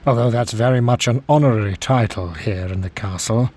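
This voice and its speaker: An actor playing an affable, understated British major in a video game, clipped and gentlemanly. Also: male voice, mid-range voice, a little fast, close to an everyday voice. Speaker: escape officer. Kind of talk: video game cutscene acting.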